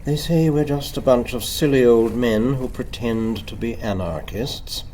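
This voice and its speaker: An actor in a radio play as a haughty, intense anarchist secretary, speaking in a dry, grave, brooding way. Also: male voice, medium pitch, measured pace, dark tone, a little hiss or hum, lightly acted. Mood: dry disdain.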